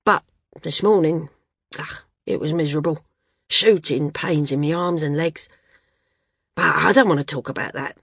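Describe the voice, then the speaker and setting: A woman voicing a hearty, good-natured ailing man who talks loudly and frankly in a storybook. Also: low voice, moderate pace, creaky, pauses often, breathy edge, no room sound, clean studio sound, full character voice. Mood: frank, rueful. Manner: loud, frank, teasing.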